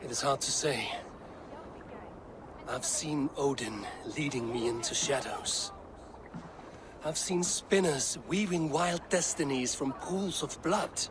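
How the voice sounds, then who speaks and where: An actor playing a loyal, blunt Viking warrior in a video game, earnest and plain-spoken. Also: male voice, medium pitch, slow pace, pauses often, background noise, lightly acted. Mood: troubled. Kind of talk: dramatic video game acting.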